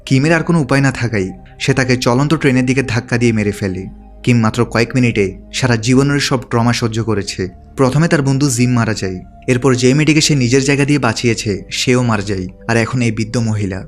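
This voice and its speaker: A man narrates a movie recap from a script at a quick pace. His voice sits at a middling pitch, with music playing behind him. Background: background music.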